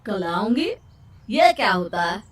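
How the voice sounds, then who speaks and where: A woman voicing an excitable, superstitious village woman in a cartoon story, with a shrill, melodramatic manner. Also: unhurried, far from the mic. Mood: uneasy.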